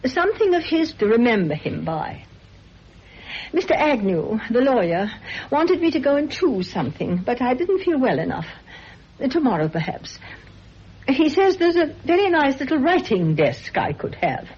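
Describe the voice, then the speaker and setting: A meek, soft-spoken spinster teacher in an old radio play, with a slightly breathy voice that rises and falls a great deal. The sound is dull and muffled, as on an old recording. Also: female voice, high voice, big pitch swings, moderate pace, full character voice. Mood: resigned. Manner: gentle, apologetic, hesitant. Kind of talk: radio drama acting.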